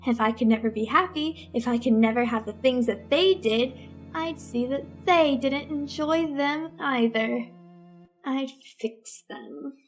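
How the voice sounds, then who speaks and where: Woman playing a high-voiced, scheming teenage girl. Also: measured pace, faint music behind. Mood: bitter, resentful. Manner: melodramatic, resentful. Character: bitter, scheming teenage girl feigning sweetness. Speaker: narrating lead in a radio melodrama. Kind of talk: old-time radio suspense acting.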